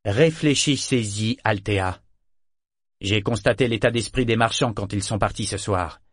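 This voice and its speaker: A man's voice: on the low side, unhurried, husky, no room sound. Man playing a proud, blunt sailor, dry sound. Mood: curt, guarded. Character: proud, blunt, bitter disinherited male sailor. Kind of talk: audiobook character dialogue.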